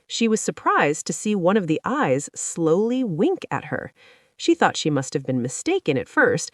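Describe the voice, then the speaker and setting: A woman narrates a children's storybook in a scripted read-aloud style. Her voice sits a little low and moves with animated intonation. The sound is dry, with no room around it. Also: dry recording.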